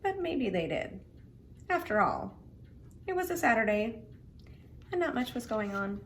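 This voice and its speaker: A middle-aged woman reading to children in an expressive, simple, explanatory way. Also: a little high, measured pace, slight background noise. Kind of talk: children's read-aloud with friendly introduction.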